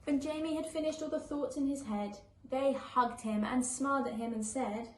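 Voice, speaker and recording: A woman reading a children's picture book aloud live, with an expressive, sing-song storytelling delivery. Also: sits high, normal speed. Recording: echoey room with faint background noise.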